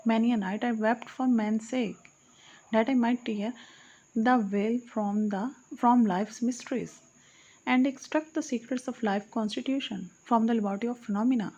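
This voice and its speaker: A woman's voice: on the high side, steady tone, normal speed, warm tone, dull audio. A woman reading archaic verse aloud as an audiobook, in a solemn recitation that stops to correct her own slips. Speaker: audiobook reader.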